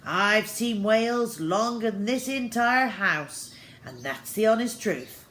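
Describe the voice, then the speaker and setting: A woman's voice: medium pitch, lively intonation, slow, fairly bright tone, voice pushed up. A woman voicing a big, boastful American sailor man with emphatic delivery in a storybook reading. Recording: clear background noise with birdsong.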